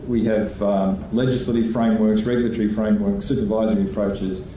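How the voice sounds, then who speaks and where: A man, a regulator answering questions on a conference panel in a measured way, thinking aloud with restarts. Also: lowish voice, steady tone, mellow, a little hiss or hum. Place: conference hall. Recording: echoey hall, voice from far off.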